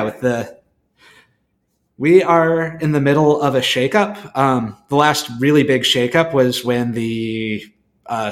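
A man co-hosting a podcast, explaining informally and often restarting his thoughts as he goes. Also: medium pitch. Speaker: podcast co-host. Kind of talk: informal explanatory panel talk.

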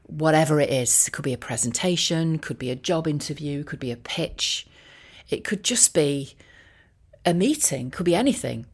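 A woman with a slightly low voice shares a personal update in a chatty, informal way. She wanders off her point and pokes gentle fun at herself, with a wry, ironic touch. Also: animated, moderate pace, slightly rough. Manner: rambling, self-deprecating asides. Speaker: voiceover artist and career coach sharing a personal update. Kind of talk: casual, chatty monologue.

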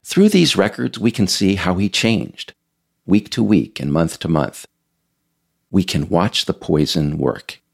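A man hosting a podcast series, reading scripted narration in a measured, emphatic, explanatory way. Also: sits low, expressive, vocal fry, slightly rough. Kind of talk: scripted narration, audio essay read aloud.

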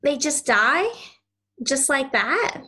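Woman playing a high-voiced, stubborn young girl. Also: animated, slow, sharp tone, heavily acted. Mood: saddened, questioning. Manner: childlike, earnest, insistent. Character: lonely, stubborn, possessive ten-year-old girl. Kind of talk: audio play acting.

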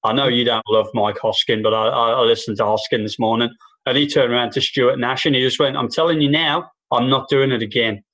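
A man in the middle of his pitch range co-hosts a podcast, speaking in a casual conversational way. He rambles through long sweary runs, stumbling over repeated false starts. He sounds like a stand-up comic chatting offhand. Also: steady tone, fairly quick, a bit bright. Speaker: podcast co-host and stand-up comedian. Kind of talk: casual political chat. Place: New Zealand.

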